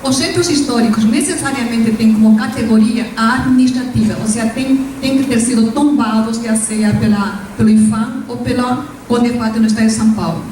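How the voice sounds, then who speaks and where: A woman from Peru with a Peruvian Spanish accent, a native Spanish speaker giving a formal, measured academic conference presentation as invited professor. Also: on the high side, steady tone, dark tone. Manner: formal, measured lecturing with Spanish-tinged hesitations. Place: lecture hall. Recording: echoey hall from far off, faint noise.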